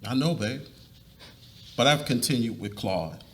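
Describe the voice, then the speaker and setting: A man's voice: mid-range voice, animated, unhurried, lightly acted. A man playing an earnest husband and father in a dramatic reading, explaining and reassuring as he confesses. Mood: confessing.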